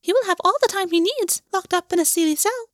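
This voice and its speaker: A woman's voice: extremely high pitch, expressive, quick pace, dry recording, studio quality, voice pushed up. A woman voicing a mocking paper origami bird with a chirping, papery voice. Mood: taunting. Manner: taunting, riddling.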